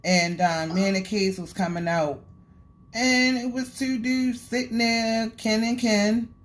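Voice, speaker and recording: A woman's voice: on the high side, a little slow. A woman in a casual conversation, giving her view in a plain-spoken, conversational way. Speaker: vlogger.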